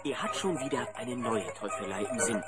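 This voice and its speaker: Narrator, male: animated storytelling for a children's audio play, prominent music underneath. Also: medium pitch, moderate pace. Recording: loud music behind narration.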